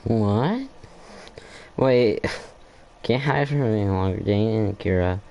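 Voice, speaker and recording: Deep, creaky, unhurried man gaming. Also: dark tone, muffled recording. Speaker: gamer recording a playthrough. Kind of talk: casual off-the-cuff game commentary. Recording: faint background noise.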